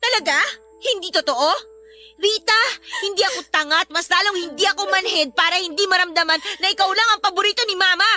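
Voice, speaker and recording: Irritable young Filipina elder sister: bright, forceful, very high voice, blunt and exasperated radio-drama delivery. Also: female voice, brisk, soft background music, exaggerated voice. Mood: angry, bitter.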